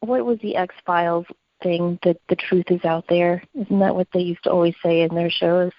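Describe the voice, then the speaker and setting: A woman's voice: lowish voice, steady tone, moderate pace, no echo, muffled recording. A woman co-hosting a conversational podcast, speaking in an earnest, opinionated way. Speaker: podcast co-host.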